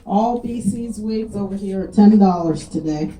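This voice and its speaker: A woman speaking casually and warmly to a gathering of friends and guests, thanking them and encouraging them. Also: mid-range voice, moderate pace, roomy sound, slight background noise, poor audio. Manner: heartfelt, folksy and encouraging. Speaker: event host thanking guests. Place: community event with guests.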